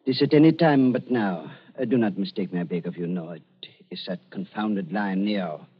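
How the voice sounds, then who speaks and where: An actor playing an elderly German doctor in a radio drama, fawning and falsely soft-hearted, with halting, repetitive speech and a German accent. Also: male voice, low-pitched, husky. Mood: mournful. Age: older. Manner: fawning, halting, repeats words. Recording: dull lo-fi radio recording.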